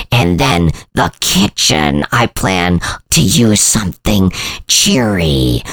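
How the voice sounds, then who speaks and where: A man voicing a menacing giant centipede that talks slowly and flatly about interior design. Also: no echo, heavily acted. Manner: slow, drawn-out, deep and deadpan. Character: slow menacing giant centipede, obsessed with decorating. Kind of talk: storytelling.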